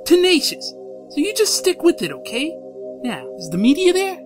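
A man's voice: very high-pitched, wildly swooping pitch, moderate pace, full character voice. Comic dub: actor as blustery male power broker, bullying, very wide inflection, music bed. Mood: impatient. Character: blustering, foul-mouthed, bullying male big shot.

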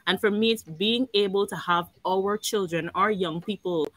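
A woman speaking earnestly on a video call panel, expressive and quick to laugh. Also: mid-range voice. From Guyana. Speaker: panel guest, animation network founder.

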